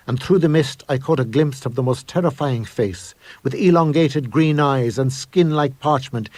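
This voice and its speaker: A man reading as a haunted gentleman explorer who recounts horror from his journal, intense, grave and deliberate. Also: mid-range voice, no echo, lightly acted. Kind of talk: dramatic scripted horror monologue.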